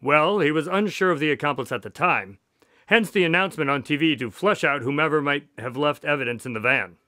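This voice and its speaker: An earnest doctor and detective's companion played by a male actor in a radio drama. His voice is fairly high and animated as he explains and recounts, and the sound is close and dry. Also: brisk, no echo. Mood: explanatory.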